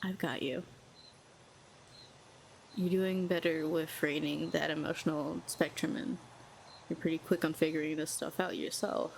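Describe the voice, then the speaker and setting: A woman's voice: low voice, fairly even intonation, a little slow, vocal fry, pauses often, a little hiss or hum. A woman playing a proud, lonely telepathic villain who talks in a sardonic, cool and needling way. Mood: soothing. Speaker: audio roleplay performer. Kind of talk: scripted audio roleplay.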